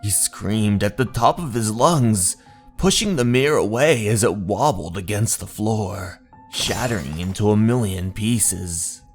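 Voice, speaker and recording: A man narrating a scripted horror story in a deliberate, suspenseful, dramatic manner over music. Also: lowish voice, lively intonation. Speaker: narrator of horror manga stories. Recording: music under the voice.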